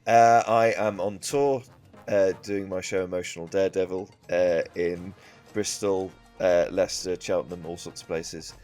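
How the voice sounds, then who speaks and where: Male podcast guest and comedian, low register, informal chat over faint music. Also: steady tone, moderate pace, darkish tone. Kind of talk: casual chat.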